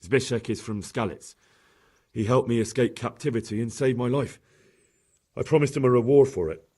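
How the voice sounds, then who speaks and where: A man acting an earnest, respectful young squire in a medieval video game, with a husky voice. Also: mid-range voice, moderate pace, warm tone, dry recording, studio quality, natural voice. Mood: deferential.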